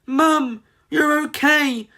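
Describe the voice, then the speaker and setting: A storybook narrator voicing an eager, emotional young female chick, exclaiming excitably. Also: sits high, very slow, voice pushed up, full character voice. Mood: relieved.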